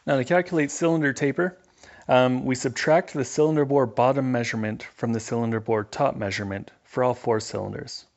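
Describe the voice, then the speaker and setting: A man narrates a hands-on mechanical tutorial in a casual, matter-of-fact way. His voice sits at an average male pitch with plenty of creaky fry. He explains each step plainly, like an instructor. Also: steady tone, fairly quick, lo-fi sound.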